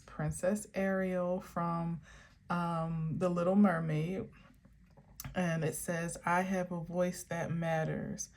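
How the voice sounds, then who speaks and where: Slow, low-voiced woman reading cards. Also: a little hiss or hum. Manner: meandering, musing, self-correcting. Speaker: spiritual tarot reader. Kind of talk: casual card-reading vlog. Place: outdoor garden.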